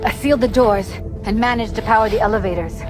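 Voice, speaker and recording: A woman's voice: a little high, fast, music in the background, natural voice. A woman playing a blunt, guarded young tech expert in a naturalistic video game cutscene, with a weary manner. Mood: urgent, focused.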